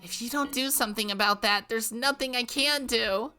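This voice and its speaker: Woman doing a soft, pleading girlish deity. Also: a little high, voice pushed up. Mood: anxious. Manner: soft, pleading, drawn-out calls. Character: gentle anxious girlish deity, distant and pleading.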